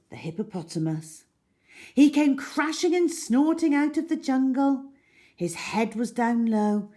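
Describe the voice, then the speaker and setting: A woman reads a storybook to children with plenty of drama. She takes her time and varies her pitch widely, giving a deliberate, expressive narration.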